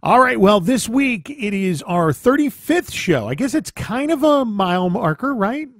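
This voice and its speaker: A man's voice: sits high, very animated, a little slow, dry recording. Upbeat dry-voiced podcast co-host man. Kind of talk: casual chat.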